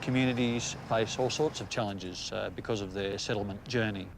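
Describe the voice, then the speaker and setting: A middle-aged Australian man with a lowish voice talks steadily, pausing often on "uh" as he explains his police work. He is recorded outdoors beside a road, and clear traffic and street noise sits behind him. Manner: measured, frequent "uh" pauses. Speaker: police area commander. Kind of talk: documentary interview.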